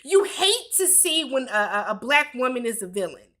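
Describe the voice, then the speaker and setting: Chatty woman host in her forties, bright and slightly high voice, loose livestream Q&A. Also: big pitch swings, normal speed. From Milwaukee, Wisconsin. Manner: chatty, laughs often, reads viewer comments aloud. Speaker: YouTube host. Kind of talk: casual livestream Q&A chat.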